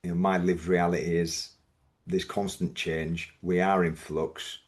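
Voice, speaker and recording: Yorkshire man, middle-aged, deep voice, measured reflective guest. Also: flat delivery. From Yorkshire, England. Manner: thoughtful, measured, with frequent restarts. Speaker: business owner guest. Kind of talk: reflective podcast interview.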